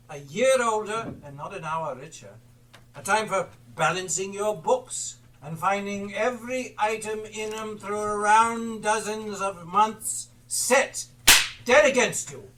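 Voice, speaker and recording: A man's voice: high-pitched, sharp tone. A man playing a grumpy, miserly old uncle on stage, curt and scornful in a theatrical delivery. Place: theatre stage, live performance. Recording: distant live stage recording with noise.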